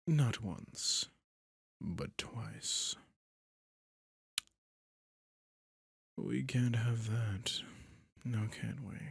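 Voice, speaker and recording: A man voicing a possessive, sadistic male vampire who is cruelly seductive, in an ASMR roleplay, talking slowly and domineeringly in a husky, often whispered voice close to the mic. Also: lowish voice, no room sound, full character voice. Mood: coldly cruel. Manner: slow, purring, domineering.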